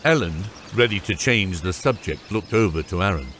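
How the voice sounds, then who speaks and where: A man's voice: low-pitched, lively intonation, normal speed, a bit bright, a little hiss or hum. A man narrating a scripted fantasy audiobook.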